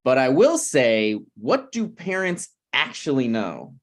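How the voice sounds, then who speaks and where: Man playing an awkward, opinionated friend, animated delivery. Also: mid-range voice, slow pace, full character voice. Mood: awkward, blunt. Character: awkward, opinionated, meddling male friend of a married couple. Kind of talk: sitcom script table read. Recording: online video call table read.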